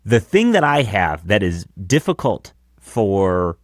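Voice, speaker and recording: A man in his thirties with a deep voice, co-hosting a podcast in casual chat from a small home studio on a close broadcast mic. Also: sing-song, slow, no echo. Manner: chatty, explaining his gear with casual asides. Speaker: podcast co-host. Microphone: close dynamic broadcast mic.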